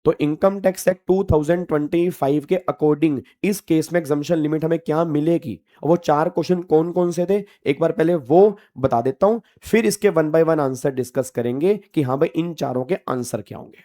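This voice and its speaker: A man's voice: on the high side, moderate pace, few pauses, mellow. A man lecturing as a teacher, emphatic and familiar with his students, repeating his key points. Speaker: tax law teacher. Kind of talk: classroom lecture mixing Hindi and English.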